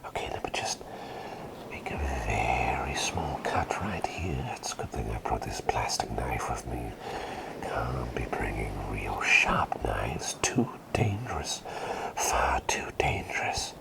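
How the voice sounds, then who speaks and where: Male actor as doting plague doctor for ASMR roleplay: extremely low, whispered, soothing, with lilting, varied intonation. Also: sing-song, slow, full character voice. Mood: sheepish, grateful. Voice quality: breathy whisper with creaky low undertone. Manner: soothing, doting, repeats phrases tenderly. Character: doting, gentle male plague doctor tending his patient.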